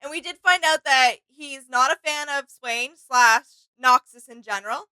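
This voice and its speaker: A woman co-hosting a reaction video who mostly chimes in with short agreeing remarks and soft laughs. Her voice is high and bright. The recording is dry, with little room sound. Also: normal speed, clear, resonant tone, dry recording, rough recording. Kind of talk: casual reaction chat.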